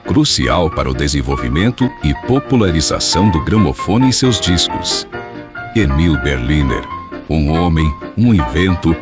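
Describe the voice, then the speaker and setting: A man reading scripted radio drama narration in a formal, dramatic storytelling manner. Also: deep voice.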